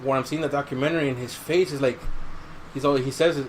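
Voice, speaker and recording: A man's voice: medium pitch, steady tone, fast talker. Casual man chatting, some background noise. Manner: conversational, recounting stories, says dude. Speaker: podcast host. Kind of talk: casual chat.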